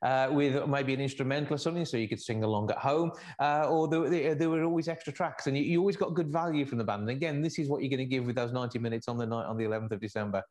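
A man's voice: medium pitch, rapid-fire, few pauses, a little mellow. A man hosting a radio show, chatting with guests and plugging upcoming gigs in an upbeat way. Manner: upbeat, plugs events and phone numbers. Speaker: radio host. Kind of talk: radio chat and promotion.

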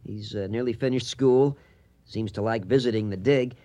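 An actor playing an argumentative, insistent American archaeologist in a radio drama. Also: male voice, mid-range voice, normal speed, lightly acted. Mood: speculating. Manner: animated, emphatic, insistent. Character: lively, argumentative American archaeologist, suspicious male deputy.

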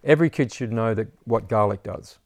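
Gravelly man, low and rambling. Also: brisk, no room sound. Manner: rambling, repeats words, says "you know" often. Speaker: herbalist teacher. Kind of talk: casual interview chat.

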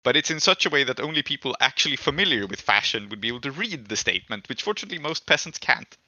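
A man running a tabletop game as game master, improvising narration in casual, halting speech as he thinks aloud. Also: mid-range voice, big pitch swings, sharp tone. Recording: echoey room, muffled dull audio.

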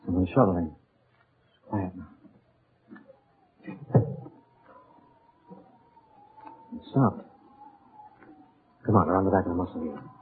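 A suave and confident amateur detective from an old radio mystery. His voice is husky and his wit dry. It comes through a narrow, muffled 1940s recording. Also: sits low, moderate pace. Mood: hushed, intent. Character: suave, confident male amateur sleuth, dryly witty. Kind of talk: 1940s radio drama acting.

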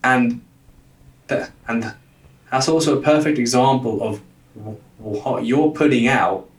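A man's voice: mid-range voice, a little slow. Young man, stutter with lots of repeats, recorded off-mic in an echoey room. Guest coach telling stories. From lives in London. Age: young adult. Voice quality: stutter, frequent word repetitions. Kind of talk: casual interview talk. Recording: roomy, distant from the mic.

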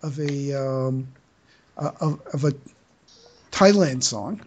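A podcast host talking through fan news casually, earnest and halting, often repeating words while searching for the next phrase. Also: mid-range voice, darkish tone, muffled recording.

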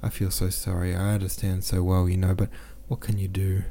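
A man reading the lines of a kindly, resigned young man in a novel, gentle and consoling. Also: deep voice, monotone, brisk, creaky.

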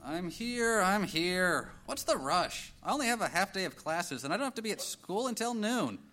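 A man's voice: sits high, sing-song, moderate pace, pushed and bright, full character voice. A man playing a lazy, sarcastic teenage son who grumbles his way through a comedic audio play. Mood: grumbling. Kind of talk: comedic audio theater acting.

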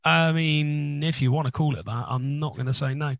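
A man co-hosting a casual podcast, his voice gravelly with a crackly edge and husky after a recent illness. He rambles along with deadpan jokes. The sound is dry and close, with no room around it. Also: a little high, moderate pace, dry recording. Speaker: podcast co-host. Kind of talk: casual chat.

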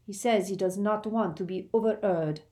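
A woman's voice: medium pitch, moderate pace, warm tone, natural voice. A woman voicing an irritable young woman with a formal, clipped manner in a storybook reading. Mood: irritated.

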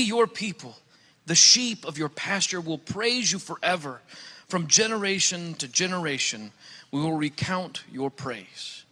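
A man's voice: on the high side, pushed and bright. A man reading scripture aloud in a solemn, formal style. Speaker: scripture reader. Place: large hall, church service. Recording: echoey hall with long reverb.

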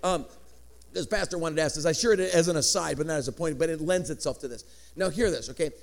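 A middle-aged man from Chicago whose first language was Greek, preaching a lively sermon with swinging inflections and joking asides. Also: a little high, fast, gravelly. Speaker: pastor.

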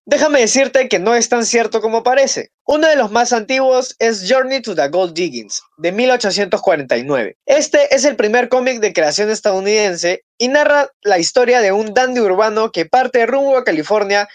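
Narrator for a scripted fact segment, steady and measured, mid-pitched voice. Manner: informative, measured read.

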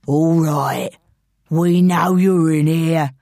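A woman's voice: low-pitched, fairly even intonation, dark tone, no room sound, studio quality, exaggerated voice. A woman voicing a menacing male London gang leader in an audiobook, mockingly polite and taunting. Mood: taunting menace. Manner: slow, taunting, mock-friendly.

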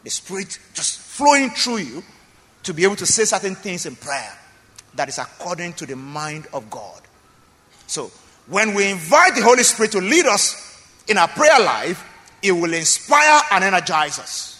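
Pastor, man, high and forceful, emphatic sermon delivery in a reverberant church hall. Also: big pitch swings, normal speed. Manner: emphatic preaching with repeated phrases and questions to the congregation.

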